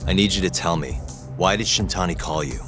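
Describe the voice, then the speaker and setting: A man acting as a dogged investigator in a game drama, with a low American voice. He is cool and blunt, pressing with sceptical questions. Music plays clearly behind him. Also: a little fast, close to an everyday voice.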